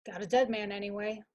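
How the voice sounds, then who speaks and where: A woman acting an anxious young gentleman suitor in a radio mystery, with a hesitant, halting delivery. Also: medium pitch, close to an everyday voice.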